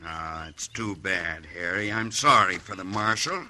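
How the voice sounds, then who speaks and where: An actor playing a cocky, murderous outlaw gang boss with a Western drawl and taunting, folksy menace, in an old-time radio western. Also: male voice, low-pitched, animated, a little slow, pushed and bright, heavily acted. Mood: sly scheming. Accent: American Western drawl. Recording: faint noise, old radio recording.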